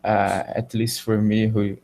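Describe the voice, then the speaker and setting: A Brazilian man with a Portuguese accent hosting a live video chat in English, halting and searching for words. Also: lowish voice, level tone, darkish tone. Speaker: live show host.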